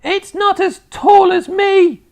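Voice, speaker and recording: A storyteller voicing a bossy, gloating old grandmother from a children's storybook, yelling shrilly with drawn-out words. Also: extremely high pitch, fairly even intonation, creaky, voice pushed up, exaggerated voice. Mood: boastful. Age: older.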